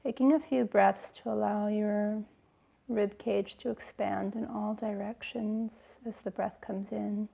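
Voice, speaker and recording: A woman with a creaky, fry-tinged voice leads a guided relaxation as a yoga teacher. She speaks gently and soothingly, leaving space between phrases. The recording is a little dull and lo-fi. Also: mid-range voice, fairly even intonation, measured pace. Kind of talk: slow guided relaxation instruction.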